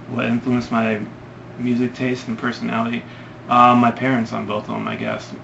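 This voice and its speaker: A man's voice: lowish voice, vocal fry. A young man from Ohio answering viewer questions in a casual vlog, hesitant and rambling with many ums. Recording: lo-fi, noisy home recording.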